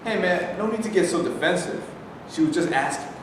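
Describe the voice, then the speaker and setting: A man's voice: sits high, animated, fairly quick. Staged reading: fed-up everyman played by a man, plain delivery, heavy room echo, faint noise. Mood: protesting. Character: exasperated ordinary man, peacemaker tired of bickering. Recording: echoey room, distant mic, faint noise.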